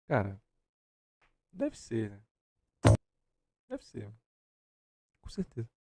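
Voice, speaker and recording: A man's voice: mid-range voice, huge pitch swings, drawn-out words, heavy background noise. A man with a Brazilian accent reacting in a casual, heated debate, blunt and opinionated, repeating himself for emphasis in slangy speech. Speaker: reaction streamer.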